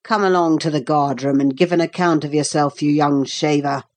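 A woman's voice: low voice, steady tone, normal speed, a little mellow, natural voice. A woman playing a bossy, brusque male soldier in a storybook, with a blunt way of talking.

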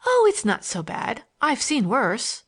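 Woman reading as a pert, high-pitched teenage schoolgirl. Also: wildly swooping pitch, slow, slightly rough, exaggerated voice. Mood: chatty. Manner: bright, teasing, girlish chatter. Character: playful, pert teenage schoolgirl, cheeky and romantic.

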